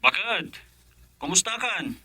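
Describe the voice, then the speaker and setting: A man's voice: high-pitched, big pitch swings, a little slow, sharp tone, breathy edge, no room sound, exaggerated voice. An actor playing an affectionate husband and father in a radio drama, with a Filipino accent. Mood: tender. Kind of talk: Ilocano radio drama acting.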